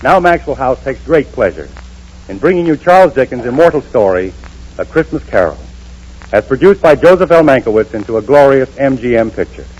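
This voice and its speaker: An American man makes a formal, showy announcement on a vintage 1930s radio broadcast. His voice is husky, and his pitch moves in an animated way. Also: fairly quick, faint music behind, poor audio.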